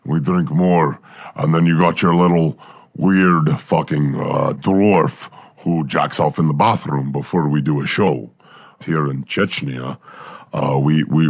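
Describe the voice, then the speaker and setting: Igor, a deep talking bear. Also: male voice, creaky, slightly rough, no echo, clean studio sound, full character voice. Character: gruff male Russian talking bear, ex-war project. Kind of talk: improvised comedy sketch.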